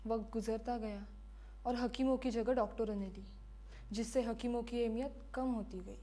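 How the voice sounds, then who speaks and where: A woman playing a defiant, bitter condemned prisoner giving her last testimony in a deliberate, impassioned dramatic monologue. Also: on the high side, steady tone, warm tone, close to an everyday voice. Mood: composed, grave. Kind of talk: dramatic film-style monologue.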